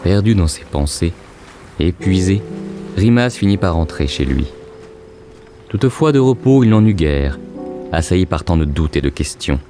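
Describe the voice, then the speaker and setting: A man with an extremely deep voice narrates a fantasy tale. His delivery is measured and literary, like scripted storytelling, with music clearly playing behind. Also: lively intonation.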